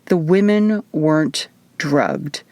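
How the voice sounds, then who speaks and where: Woman voicing a huge, gruff, growling man. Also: sits low, slow, darkish tone, no echo. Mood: gruff, menacing. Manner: growling, grumbling. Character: huge, hairy, gruff man with murderous temper.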